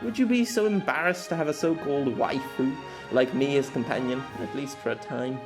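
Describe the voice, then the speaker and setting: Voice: mid-range voice, a little fast, music in the background, natural voice. A narrator voicing a spirited, imperious young warrior noblewoman with formal, old-fashioned and emphatic speech in an amateur audiobook. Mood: pleading. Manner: formal archaic phrasing, emphatic. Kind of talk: amateur audiobook character acting.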